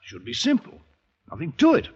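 A man's voice: on the high side, huge pitch swings, normal speed, slightly rough, full character voice. A man playing a jovial, bluff, fatherly hospital doctor, hearty and teasing, in 1940s radio drama style. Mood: brisk, encouraging. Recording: dull old radio drama recording.

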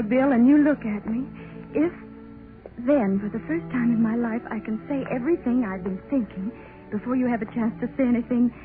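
A woman's voice: high voice, phone-quality sound, lightly acted. A woman playing a timid, anxious young wife in 1930s radio drama, pleading and hesitant, on a thin old broadcast. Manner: hesitant, pleading, breathlessly emotional. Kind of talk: 1930s radio drama acting, interior monologue.

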